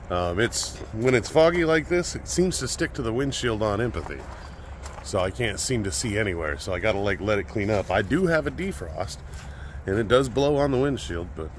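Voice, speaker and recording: A man in his forties with a fairly low voice, chatting loosely about his trip into a camera held at arm's length. His talk is relaxed and rambling. There is a light hum of traffic and outdoor air behind him. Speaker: vlogger. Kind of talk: casual road-trip vlog. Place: outdoors and inside a car. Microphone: phone or action camera at arm's length. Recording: faint outdoor and traffic noise.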